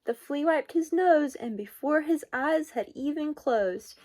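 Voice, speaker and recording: A woman reading a children's picture book aloud in a deliberate, expressive way, with sing-song emphasis. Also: sits high. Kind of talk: children's storybook read-aloud.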